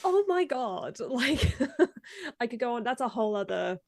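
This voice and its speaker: A woman's voice: a little high, very animated, measured pace, no room sound, clean studio sound. A young woman, a video editor guesting on a live stream, chatting casually and laughing often while sounding stuffed up from a cold. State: congested, stuffed up.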